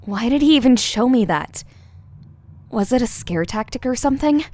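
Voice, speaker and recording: A woman's voice: a little high, expressive, moderate pace, darkish tone. An actress playing an exhausted, injured young woman, a bitter and shaken survivor in a podcast drama. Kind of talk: dramatized fan fiction podcast acting.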